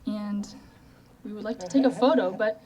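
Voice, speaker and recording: A woman's voice: mid-range voice, moderate pace. A young adult woman co-hosting an event, speaking informally to an audience. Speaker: event co-host. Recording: outdoor event with crowd sounds. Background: crowd.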